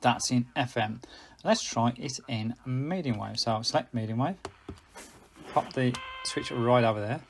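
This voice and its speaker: A man's voice: medium pitch, a little slow, vocal fry. A man presenting a casual hands-on gadget review in a rambling way, often correcting himself and getting slightly tongue-tied.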